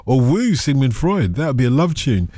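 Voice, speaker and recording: A man hosting a call-in radio show, joking and laughing at himself in quick asides. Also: medium pitch, very animated, warm tone, dry recording, clean studio sound. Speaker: radio host.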